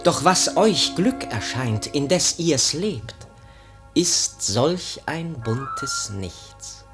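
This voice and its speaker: Epilogue for radio verse drama: solemn male reciter, unhurried, declamatory, prominent music underneath. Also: a little high, sing-song, heavily acted. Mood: solemn, knowing. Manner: declamatory, measured. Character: solemn male epilogue speaker addressing the listeners. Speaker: speaker of prologue and epilogue.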